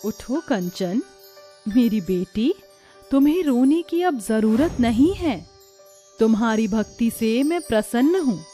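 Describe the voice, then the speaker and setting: Woman voicing a high, solemn cartoon mother goddess. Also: animated, slow pace, mellow, soft background music. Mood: benevolent, solemn. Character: majestic mother goddess, stern yet benevolent. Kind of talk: Hindi cartoon voice acting.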